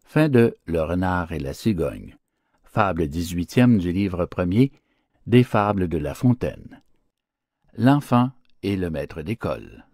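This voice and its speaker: A man narrating storybook fables in a theatrical, declamatory and expressive style. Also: low-pitched, slow, no echo, clean studio sound. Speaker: audiobook narrator.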